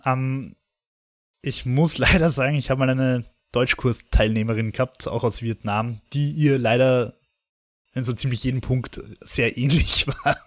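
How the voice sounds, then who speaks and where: A man's voice: mid-range voice, fairly even intonation, a little slow, dry recording. A man co-hosting a casual film podcast, retelling plot points at length and laughing between remarks.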